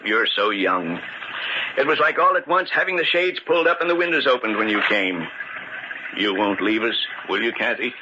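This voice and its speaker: An actor playing a stubborn, needy stonecutter near sixty, emphatic and pleading in 1940s radio drama style. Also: male voice, lowish voice, sing-song, normal speed. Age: older. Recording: dull, noisy old radio recording.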